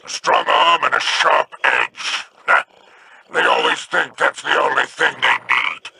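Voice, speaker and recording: An actor voicing a cunning alien pirate captain in a video game, sly and menacing, with faint noise behind. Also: male voice, mid-range voice, measured pace, gravelly, bright, forceful tone, heavily acted. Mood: scheming. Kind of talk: game voice acting.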